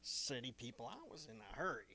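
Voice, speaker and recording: A man's voice: medium pitch, animated, fast, pushed and bright, voice pushed down, heavily acted. A man playing a folksy rural farmer in a radio drama, drawling and plainspoken. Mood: grumbling. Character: old country farmer, slow rustic man. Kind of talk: 1930s radio drama acting.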